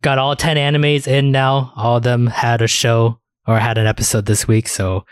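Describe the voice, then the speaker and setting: A man's voice: mid-range voice, little pitch movement, normal speed, clear, resonant tone, dry recording, clean studio sound. A man hosting a podcast in casual chat about anime and games, swearing freely as he talks. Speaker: podcast host.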